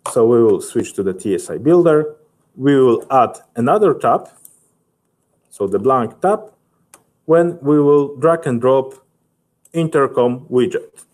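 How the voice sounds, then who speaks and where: A man in his thirties with a Polish accent, giving a halting, methodical software tutorial as a technical specialist. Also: medium pitch, lively intonation. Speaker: technical specialist presenting a webinar.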